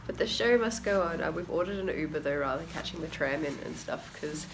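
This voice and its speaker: A woman's voice: deep voice, animated, fast, gravelly. A woman with an Australian accent, sick and congested, vlogging on a phone in a bubbly, self-deprecating way. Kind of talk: casual vlog chat. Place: busy convention hall. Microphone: handheld phone camera. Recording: loud crowd noise behind. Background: loud crowd chatter.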